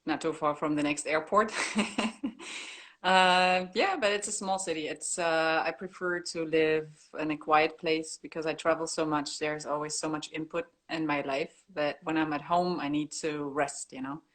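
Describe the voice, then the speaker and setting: Woman, forties, lowish voice, casual and modest, laughs easily. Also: steady tone, moderate pace, a bit bright. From Germany. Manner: laughs often, modest and chatty. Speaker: guest artist. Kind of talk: casual interview on a video call.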